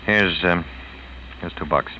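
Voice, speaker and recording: A man's voice: low-pitched, slow pace. A man playing a stern, commanding police detective, terse and authoritative, in a 1940s radio drama. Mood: businesslike. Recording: muffled old radio recording, faint noise.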